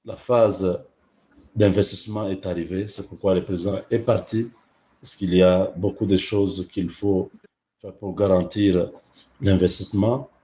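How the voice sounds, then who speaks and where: A hoarse man speaks slowly and deliberately in a lowish voice. He hesitates often and fills gaps with fillers. The sound is dull and lo-fi. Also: fairly even intonation, dark tone. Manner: slow, halting delivery with fillers. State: hoarse from a cold. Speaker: online channel host. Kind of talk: casual livestream commentary.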